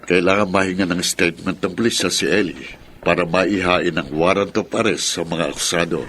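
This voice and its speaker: A man's voice: low-pitched, steady tone, brisk, a bit bright, slight background noise. A man playing a polite, earnest family friend in radio drama dialogue.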